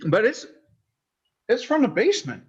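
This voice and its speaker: A man playing a naive, devoted son in an old time radio horror play, speaking in a halting, stammering, earnest way. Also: very high-pitched, huge pitch swings, husky, dull audio, full character voice. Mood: anxious, insistent. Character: naive, simple, devoted son pining for missing mother.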